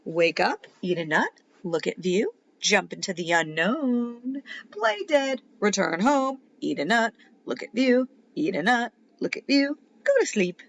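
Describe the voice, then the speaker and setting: A woman reading a children's picture book aloud as a teacher would, in a dramatic, playful way with emphatic pauses. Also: medium pitch, sing-song, fairly bright tone, lo-fi sound. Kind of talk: expressive children's storybook read-aloud.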